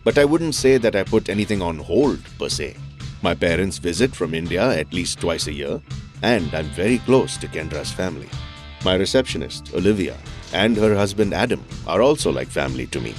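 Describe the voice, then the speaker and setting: A very deep male voice with faint music behind it. a middle-aged psychiatrist in an audio drama, reserved and courteous, with formal, measured phrasing. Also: animated. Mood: reflective. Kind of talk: conversational audio drama acting.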